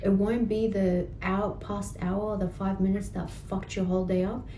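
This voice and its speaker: Mellow-voiced woman coaching, echoey room. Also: medium pitch, little pitch movement, normal speed, slight background noise. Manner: encouraging, repeats "right?" and "guess what". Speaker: healing practitioner. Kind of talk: coaching session.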